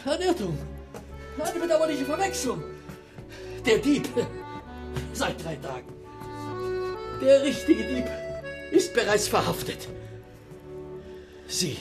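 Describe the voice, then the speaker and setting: Radio play casting: contrite lawman, slow halting speech, voice leaping high, music behind. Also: sing-song, full character voice. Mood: flustered, contrite. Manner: halting, stammering, breathless apology. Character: flustered, apologetic male detective, stammering and contrite. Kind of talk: German radio drama acting.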